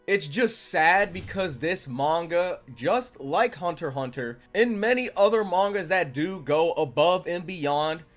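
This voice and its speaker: A man's voice: on the high side, measured pace, hardly any pauses. A man delivering review commentary as a video reviewer. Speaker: manga reviewer. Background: background music.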